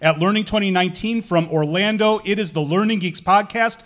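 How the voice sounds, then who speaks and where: A man's voice: high-pitched, a little fast, very noisy. A man hosting a live podcast in front of an audience, chatty and curious as he asks questions. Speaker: podcast host. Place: conference hall with a live audience.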